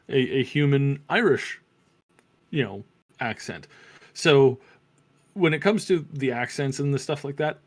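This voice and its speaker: A man co-hosting a casual review discussion, reasoning out his opinions haltingly and repeating words as he goes. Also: on the high side. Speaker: co-host of a review show.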